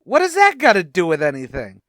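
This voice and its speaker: A man's voice: high-pitched, huge pitch swings, fairly quick, a bit bright, no echo, clean studio sound, exaggerated voice. A man playing a boastful, wisecracking tavern manager in an old-time radio comedy, with a pompous, blustery delivery.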